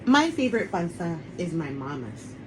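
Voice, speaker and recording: A woman's voice: lowish voice, very animated, normal speed, background noise. A woman who is an author, reading her children's picture book aloud on an online webinar recording. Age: adult, parent of a young son.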